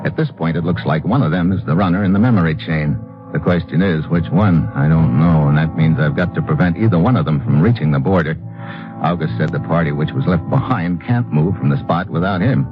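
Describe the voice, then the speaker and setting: An actor playing a tough, wry adventurer hero in an old American radio drama, with a sardonic, wisecracking delivery. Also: male voice, rumbling low, brisk. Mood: wry, determined. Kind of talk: 1950s radio adventure acting. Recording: muffled old radio recording, music behind.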